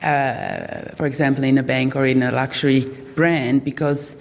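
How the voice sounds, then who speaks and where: A woman's voice: rumbling low, steady tone, normal speed, creaky. A middle-aged woman from Croatia, speaking on a live panel about managing a destination brand, hesitant with many fillers and restarts. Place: hotel hall with audience. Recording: long reverb of a hotel hall.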